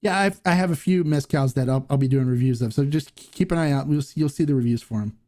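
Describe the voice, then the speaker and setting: A man hosting a casual live Q&A, reading viewer comments aloud and answering in a rambling, halting way. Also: medium pitch, a little mellow. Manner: rambling, halting, many restarts and fillers. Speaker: host of a live Q&A stream.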